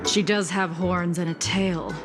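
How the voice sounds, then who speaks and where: A woman's voice: on the low side, steady tone, measured pace, natural voice. A woman voicing a dutiful, respectful soldier on guard in a video game, with a formal manner. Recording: loud game music under the voice.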